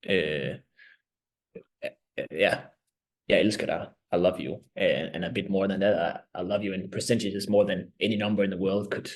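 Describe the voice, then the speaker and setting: A man in his thirties, a native Danish speaker with a Danish accent, giving reflective interview answers as a band's vocalist, often halting and searching for words. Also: low voice, fairly even intonation. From Denmark. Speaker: band vocalist and lyricist.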